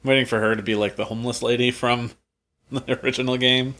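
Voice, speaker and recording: A man's voice: medium pitch, flat delivery, quick pace, fairly bright tone. Joking man in his late twenties. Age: late 20s. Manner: wry, joking, goofy outbursts. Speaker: let's play co-host. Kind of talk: casual gameplay chat. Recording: music playing under the voice.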